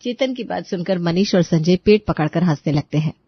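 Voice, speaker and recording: A woman's voice: on the low side, animated, brisk, husky, dull audio. A woman narrating a scripted comic story, chatting to the listeners as she tells it.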